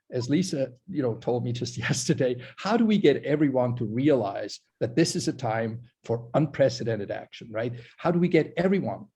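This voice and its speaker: A man's voice: mid-range voice, darkish tone, husky. A man from Switzerland hosting an online forum on a video call. He speaks earnestly, with many restarts and "you know"s. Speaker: forum host. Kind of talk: live online forum introduction.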